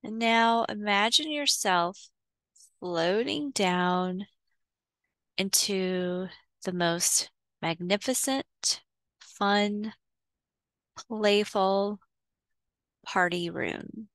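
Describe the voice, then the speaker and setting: A woman leading a guided visualization in a soothing, instructional way, pausing between short phrases. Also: mid-range voice, a bit bright, dry recording. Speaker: guided meditation host. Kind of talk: guided visualization, meditation narration.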